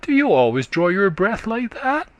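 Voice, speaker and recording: Piping little country boy, chattering, rustic dialect, lively sing-song pitch, dry close sound, voiced by a man. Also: moderate pace, no room sound. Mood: curious. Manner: childlike, piping, dialect speech.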